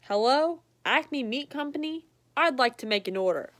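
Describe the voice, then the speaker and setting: A woman's voice: high-pitched, lively intonation, normal speed, fairly bright tone. A woman voicing a bossy, chatty family dog in a picture book, cheeky and emphatic. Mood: playful.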